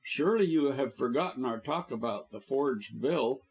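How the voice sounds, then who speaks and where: A man reading an audiobook, voicing a calm, measured male doctor. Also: medium pitch, lo-fi sound, natural voice.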